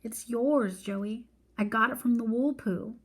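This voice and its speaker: A woman's voice: fairly high pitch, a little slow, lightly acted. Bewildered young boy, read by a woman, storybook character, earnest, hesitant, shaken. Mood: subdued, earnest.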